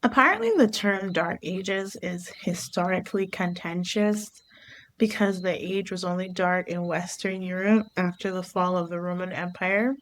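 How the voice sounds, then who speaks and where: A woman from Barbados hosting her own podcast, talking through her thoughts in an unhurried, reflective way. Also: fairly low pitch, a bit bright, poor audio. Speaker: podcast host. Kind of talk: reflective solo podcast monologue.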